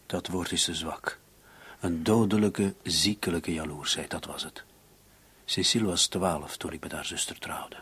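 Very husky, defensive suspect in his thirties. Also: low voice, steady tone, measured pace, fairly bright tone, exaggerated voice. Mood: bitter confession. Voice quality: very husky, breathy. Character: defensive, irritated 37-year-old male murder suspect in love. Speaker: actor in a radio play. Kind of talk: Dutch-language radio drama dialogue.

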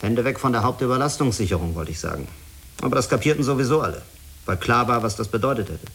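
Sober spaceship commander. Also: male voice, mid-range voice, lively intonation, quick pace, a bit bright. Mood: reflective, insistent. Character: male spaceship commander, sober, self-critical, stern under pressure. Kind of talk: German radio drama acting.

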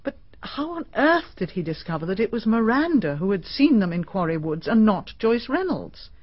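A woman's voice: medium pitch, very animated, moderate pace, husky. An actress playing an inquisitive woman who keeps questioning the detective, in a radio drama. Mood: curious. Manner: curious, questioning. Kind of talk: BBC radio drama acting. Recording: muffled archive radio recording.

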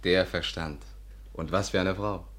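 A man's voice: low-pitched, fairly even intonation, fairly bright tone. An actor playing a dry, witty, teasing male companion with a sardonic manner in a 1950s radio drama. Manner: sardonic, quick-witted.

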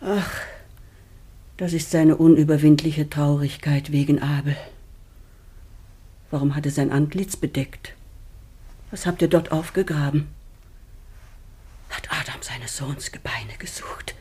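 Emotional mother in old radio drama, husky breathy voice, very theatrical. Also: sits low, a little slow, long pauses, warm tone. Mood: anxious grief. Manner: emotive, declamatory. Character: Eve, impassioned mother, tender and anxious. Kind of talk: classic German radio play, theatrical.

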